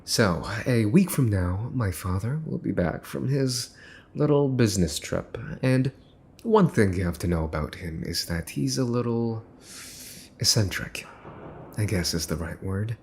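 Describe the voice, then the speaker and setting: Man acting a slow, low-voiced, smug mafia heir. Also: lively intonation, vocal fry. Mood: wry, confiding. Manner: mocking, theatrical, deliberate, dropping into whispers. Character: smug, menacing, teasing young mafia boss's son. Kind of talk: ASMR roleplay, dramatic monologue to the listener.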